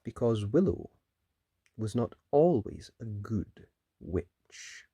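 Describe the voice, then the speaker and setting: A children's storyteller reads a storybook with dramatic, emphatic pauses. The pitch swoops widely, and the recording is close and dry. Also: sing-song, mellow, husky, dry recording. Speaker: children's story reader, channel host. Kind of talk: animated storybook narration.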